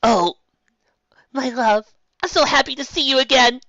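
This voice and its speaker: A man's voice: very high-pitched, unhurried, gravelly, pushed and bright, no room sound, dull audio, voice pushed up, heavily acted. A man voicing a brave pegasus barbarian queen, a bold heroine in a fantasy story. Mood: tender.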